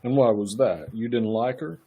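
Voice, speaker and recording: Man playing an officious county attorney, slightly husky. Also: medium pitch, moderate pace, mellow. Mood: probing. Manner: patronizing, officious. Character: brisk, condescending county attorney questioning witnesses. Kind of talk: live radio play acting.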